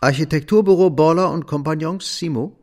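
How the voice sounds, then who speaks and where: An actor playing a weary, evasive middle-aged architect in a radio drama, speaking in a hesitant, guarded way. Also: male voice, fairly high pitch, brisk, loud music behind the voice, close to an everyday voice. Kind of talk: German radio drama acting.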